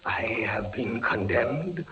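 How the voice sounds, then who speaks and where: An actor playing a terrified, feverish prisoner, a captain condemned to torture, with intense theatrical 1940s radio delivery. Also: male voice, mid-range voice, animated, slow. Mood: hushed dread. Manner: intense, theatrical, breathy hushed dread breaking into cries. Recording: muffled, noisy old radio recording.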